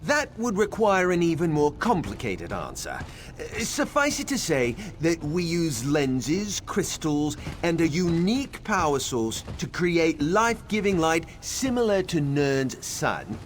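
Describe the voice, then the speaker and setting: A man plays a learned garden keeper in a fantasy game. He explains formally and a little evasively in a lightly raised, expressive voice, over faint ambient noise. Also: fairly high pitch, a little slow. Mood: patient, explanatory. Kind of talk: video game character dialogue.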